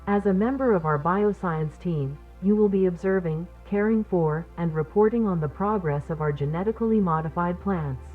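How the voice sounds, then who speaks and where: A formal, polite training-video narrator, played by a woman, reads measured instructions in a slightly low female voice. The sound is muffled and lo-fi, like an old orientation tape, with music playing behind her. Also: natural voice. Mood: composed, instructional. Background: background music.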